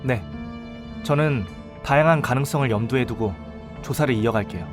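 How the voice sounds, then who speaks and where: Actor as a composed young investigator over music. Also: male voice, mid-range voice, close to an everyday voice. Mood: composed, analytical. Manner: measured, explanatory. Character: composed, observant young male investigator telling his case. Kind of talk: audio drama narration and dialogue.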